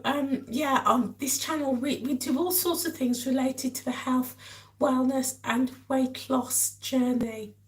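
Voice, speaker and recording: Woman, croaky and hoarse, high voice, slow unhurried delivery, chatty vlog host. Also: darkish tone, poor audio. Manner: chatty, addresses viewers directly. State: hoarse from a cold. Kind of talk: casual live-stream chat.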